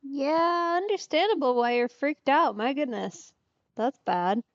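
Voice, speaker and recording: A woman's voice: sits high, animated, unhurried, dry recording, dull audio. A woman game streamer giving casual, offhand let's play commentary in short asides.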